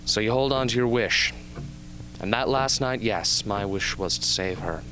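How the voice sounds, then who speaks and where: A man playing a young, haunted but earnest arena survivor with intense, deliberate delivery in an amateur radio drama. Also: fairly low pitch, bright, forceful tone, faint music behind, poor audio. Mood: haunted, reflective. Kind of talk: amateur radio drama acting, interview scene.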